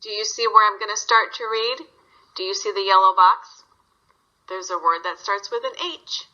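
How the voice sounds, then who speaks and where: A woman's voice: mid-range voice, normal speed, sharp tone, dull audio. A woman reading a story aloud to young children, guiding them with simple questions.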